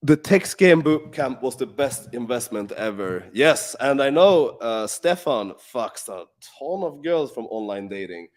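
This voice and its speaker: A man's voice: medium pitch, expressive, unhurried, few pauses. A man hosting a live question-and-answer stream, talking casually with lots of swearing and filler words. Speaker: live Q&A host. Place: in Warsaw.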